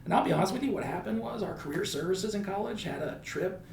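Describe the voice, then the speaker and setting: Higher-pitched American man in an echoey room. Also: lively intonation, fast talker, vocal fry, a little mellow. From United States. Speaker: high school principal. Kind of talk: conversational podcast interview. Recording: echoey room with faint background noise.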